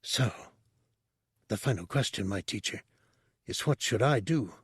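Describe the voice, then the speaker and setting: A man's voice: fairly low pitch, expressive, measured pace, fairly bright tone, breathy edge, no room sound, clean studio sound, full character voice. A man voicing a small, sly but earnest reptilian alien in an audiobook story. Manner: earnest, respectful pupil.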